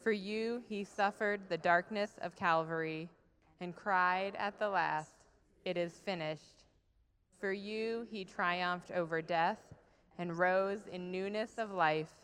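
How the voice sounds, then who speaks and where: A woman worship leader giving a measured liturgical reading in a church. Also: on the low side, fairly bright tone. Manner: slow, measured, deliberate reading. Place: church sanctuary. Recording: long church hall reverb.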